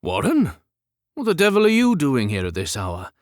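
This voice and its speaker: Man acting a weary older mill boss, pitched up. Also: wildly swooping pitch, normal speed, dry recording, clean studio sound. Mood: resigned, chiding. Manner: questioning, wearily chiding. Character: gentle, resigned older balding mill manager, Victorian.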